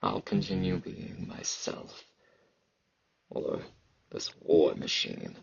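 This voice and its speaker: A man playing an aloof, battle-hardened fantasy knight in an ASMR roleplay, halting and terse, with a husky, gravelly voice and sibilant S sounds. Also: dry recording, lo-fi sound, exaggerated voice. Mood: resigned, stoic. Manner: halting, terse, low-key, socially awkward.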